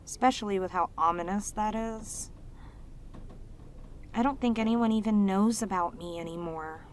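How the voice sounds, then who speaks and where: A woman voicing a lonely, clingy, sweet female demon in an ASMR audio roleplay, with an earnest, halting, pleading manner. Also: medium pitch, slight background noise, natural voice. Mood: anxious, wistful.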